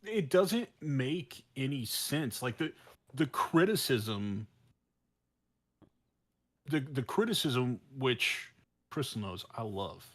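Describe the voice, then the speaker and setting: A man's voice: medium pitch, expressive, a bit bright. Man arguing in stop-start bursts. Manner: halting, repeats and restarts phrases for emphasis. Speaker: podcast co-host. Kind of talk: casual opinionated discussion.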